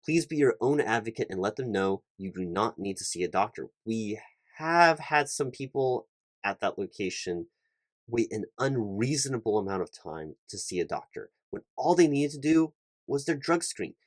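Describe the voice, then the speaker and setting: A man's voice: mid-range voice, lively intonation, moderate pace, no echo, close to an everyday voice. A man playing a fussy, officious recruiting manager in a one-sided interview roleplay, rambling and repetitive with pedantic detail. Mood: officious, instructing. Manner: rambling, repetitive, pedantic, stumbling over long lists. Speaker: ASMR roleplay performer.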